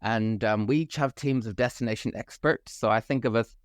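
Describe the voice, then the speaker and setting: A middle-aged man with a Northern Irish accent worn down by years abroad, chatting enthusiastically as an interview guest. His pitch sits mid-range for a man. The sound is dry and close, with no room in it. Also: a little fast, no echo. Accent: Northern Irish, softened by years abroad. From Northern Ireland. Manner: enthusiastic, fluent with some fillers. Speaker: travel company managing director, guest. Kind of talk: conversational podcast interview.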